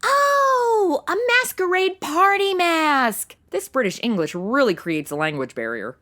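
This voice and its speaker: A woman playing an irreverent, slangy American sidekick in a comedy audio drama, expressive and flippant. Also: sits high, a little slow, heavily acted.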